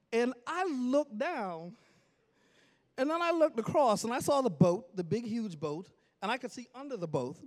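A man in his forties from the Bahamas, preaching to his church in a storytelling style, joking with the congregation and now and then breaking into shouts. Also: high-pitched. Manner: animated, jokes with congregation, bursts into shouts. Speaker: pastor. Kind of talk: storytelling sermon. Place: church sanctuary.

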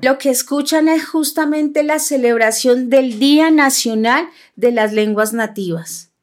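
A woman's voice: high voice, a little slow, faint music behind. A woman in her thirties with a Bogotá Colombian accent, a native Huitoto speaker, narrating a scripted personal audio documentary. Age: 30s. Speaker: narrator and host.

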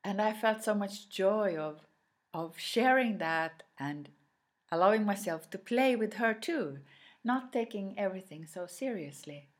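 A woman whose native language is Swedish, giving an unscripted inspirational talk straight to the listener in a reflective way, often pausing mid-phrase. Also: medium pitch, animated. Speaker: inspirational speaker.

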